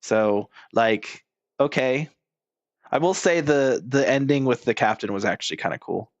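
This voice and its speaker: A man's voice: mid-range voice, expressive, unhurried, dry recording, dull audio. A man doing casual, rambling game commentary as a streamer, blunt and informal with plenty of swearing.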